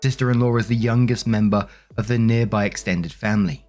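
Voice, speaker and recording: A man narrating online stories aloud and commenting on them in a measured, conversational way. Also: lowish voice, fairly even intonation, darkish tone, faint music behind. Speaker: host and narrator of Reddit story compilations.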